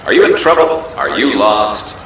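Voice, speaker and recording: Guy doing a chirpy robot salesman, sing-song pitch, echoey noisy audio. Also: expressive, moderate pace, a bit bright. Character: cheerful salesman robot peddling sodas.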